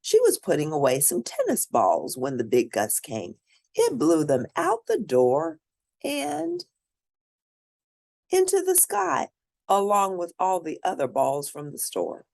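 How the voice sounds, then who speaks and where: A woman's voice: fairly low pitch, vocal fry. A woman reading a children's picture book aloud expressively, as a storyteller for young listeners who points out the pictures. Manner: animated, pauses to point out pictures.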